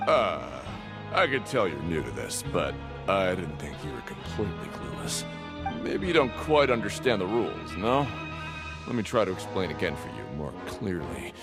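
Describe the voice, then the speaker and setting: An actor voicing a condescending ticket seller at a fight arena in a video game. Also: male voice, sits low, sing-song, normal speed. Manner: condescending, salesman-like. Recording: game audio with music. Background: game music.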